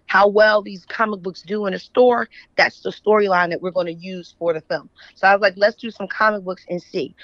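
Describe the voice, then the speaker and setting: A woman's voice: medium pitch, a bit bright, no room sound, highs cut off. A woman from Philadelphia with an American accent, telling stories casually as an interview guest and often checking in with the listener. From Philadelphia, USA. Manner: conversational, often checks in with "right?". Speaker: interview guest, author and editor.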